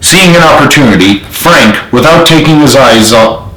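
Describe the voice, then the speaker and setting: Guy reading his own book out loud, dramatic storytelling, medium pitch, pretty echoey room. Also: lively intonation, normal speed. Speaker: author reading own novel. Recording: roomy, echoey home recording.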